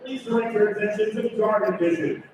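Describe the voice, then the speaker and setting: A man's voice: high voice, fairly even intonation, a little fast, a crowd in the background. A man reading scripted announcements over an arena public address system. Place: large indoor arena over loudspeakers. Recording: echoey arena PA heard from far off, noisy. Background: arena noise.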